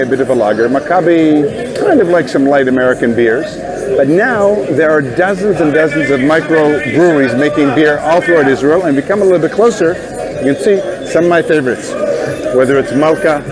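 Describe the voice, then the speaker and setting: A man from Canada giving an enthusiastic on-location tour of a market, in brisk guide patter. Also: a little high, expressive. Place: outdoor market street. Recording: loud market crowd around.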